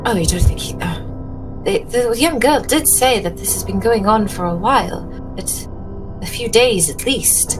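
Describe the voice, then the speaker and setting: A woman's voice: mid-range voice, lively intonation, moderate pace, natural voice, poor audio. Woman playing genteel, fretful lady over music. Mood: uneasy. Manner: polite, hesitant, fretful. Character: genteel, anxious, soft-hearted lady in a horror game.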